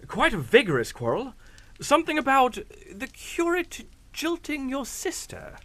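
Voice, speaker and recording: A man's voice: very high voice, wildly swooping pitch, measured pace, a bit bright, no echo, exaggerated voice. An actor playing a sly, unflappable captain in a radio drama, suave and mocking, drawing out his insinuations. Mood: sly, insinuating. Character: sly, unflappable English captain, needling blackmailer.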